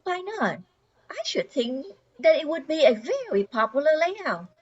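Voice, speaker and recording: A woman's voice: high-pitched, very animated, moderate pace. An older woman playing a confident, polite suburban wife in her thirties in an amateur radio play. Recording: dull lo-fi sound.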